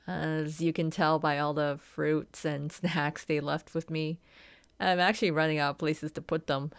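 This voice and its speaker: A woman voicing an injured, vulnerable young horse girl racer in a soft, confiding, hesitant ASMR roleplay. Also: low-pitched, fairly even intonation, unhurried, smooth, no echo. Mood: wistful, downcast. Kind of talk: ASMR roleplay, scripted character acting.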